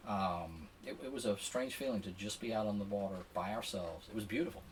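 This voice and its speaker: A man chatting casually and telling a story as an interview guest, with a hesitant, halting delivery. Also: on the low side. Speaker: podcast guest, sailor. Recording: distant mic with faint background noise.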